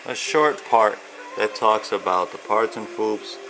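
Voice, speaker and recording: Male author-reader for a children's picture book, lower register, very unhurried, measured storytelling delivery with pauses. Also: poor audio. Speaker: author and illustrator reading own children's book. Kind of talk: read-aloud storytelling of rhyming children's book.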